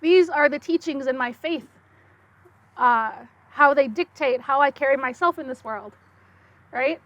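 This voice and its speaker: A young woman speaking English with a slight French accent, giving an excitable conference talk with quick bursts and many fillers. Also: sits high, dry recording, highs cut off. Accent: French-tinged Canadian English. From Saskatchewan, Canada. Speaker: guest speaker.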